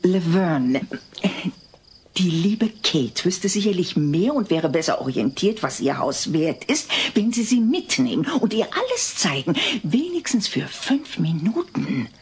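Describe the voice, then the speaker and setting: An actress playing a meddling, formal woman in a dubbed sitcom audio drama, speaking deliberately and sententiously. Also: lowish voice, very animated, darkish tone, a little hiss or hum. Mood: measured, persuasive.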